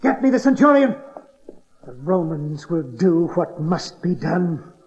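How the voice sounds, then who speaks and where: A man's voice: on the high side, very animated, unhurried, darkish tone. A man playing a cruel, paranoid king in a dramatized audio Bible, speaking in an imperious, theatrical way. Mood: cold, menacing. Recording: dull hissy cassette tape.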